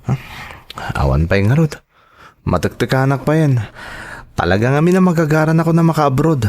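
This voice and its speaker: A man's voice: a little high, lively intonation, normal speed, a little mellow, lightly acted. A man with an Ilocano accent playing an eager, trusting son in a radio drama. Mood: friendly, impatient. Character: eager, trusting adult son planning to work abroad.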